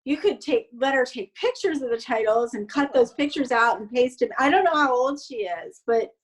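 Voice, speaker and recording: A woman with a high voice co-hosts a casual conversation. Her intonation is very lively, moving widely up and down as she chats. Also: normal speed, low-quality recording. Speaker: co-host. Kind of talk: casual chat.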